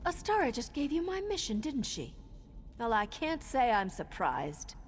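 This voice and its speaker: A woman's voice: on the high side, huge pitch swings, unhurried, a little hiss or hum, exaggerated voice. A woman voicing an embittered, restless assassin in a fantasy game, sardonic and emotional. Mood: sardonic, resentful. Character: embittered, restless, reckless female assassin, defensive and proud.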